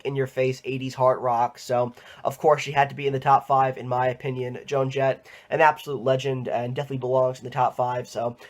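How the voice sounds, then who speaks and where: A man hosting a music countdown video, talking in a chatty way with lots of fillers and sounding nasal from a cold. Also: medium pitch. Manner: chatty, many fillers like "you know". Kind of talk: ranked countdown commentary.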